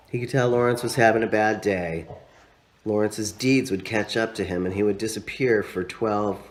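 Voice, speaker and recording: Deep-voiced man reading with lively pitch. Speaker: author reading own story. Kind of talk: literary narration, read aloud.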